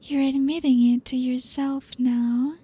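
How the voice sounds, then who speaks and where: A young woman voicing a possessive, ancient female vampire in an ASMR roleplay, breathy and whispery, teasing slowly with soft laughs. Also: high voice, flat delivery.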